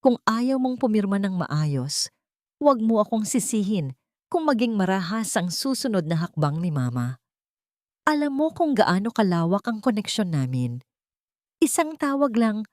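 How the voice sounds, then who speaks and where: A woman's voice: medium pitch, a little mellow, no room sound, clean studio sound, close to an everyday voice. A woman with a Filipino accent voicing a cold, condescending rich husband in an audio story, stern and pressuring. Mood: menacing. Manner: stern, pressuring, switches into English.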